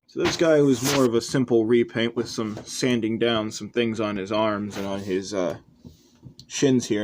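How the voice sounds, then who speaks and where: Rambling young man, noisy homemade recording. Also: fairly low pitch. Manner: rambling, offhand, self-deprecating. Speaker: host of a toy customizing channel. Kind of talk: casual hobby showcase chat.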